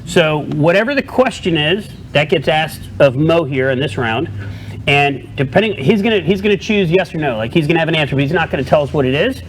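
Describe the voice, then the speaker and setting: A man's voice: fairly high pitch, animated, brisk, slight background noise, rough recording. A young man co-hosting a casual chat, explaining game rules in a loose, rambling way. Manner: rambling, lots of fillers and throat clearing. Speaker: co-host.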